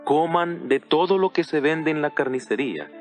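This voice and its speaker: A man's voice: fairly high pitch, expressive, close to an everyday voice. A man playing the Apostle Paul as an earnest, solemn teacher exhorting his congregation in a dramatized audio Bible, with music behind him. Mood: urgent exhortation. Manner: solemn, exhortative, deliberate phrasing.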